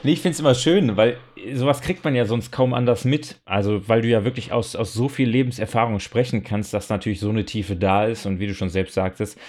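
A man's voice: lowish voice, steady tone, a little fast, few pauses, darkish tone. A man hosting a podcast interview in casual talk, reflective, speaking in long winding sentences. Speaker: podcast host interviewing a guest.

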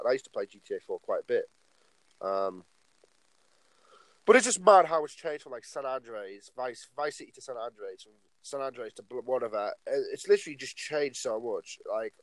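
A man's voice: medium pitch, dry recording, low-quality recording. A nineteen-year-old man co-hosting a casual podcast, rambling along and filling his talk with "like" and "obviously". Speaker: podcast co-host.

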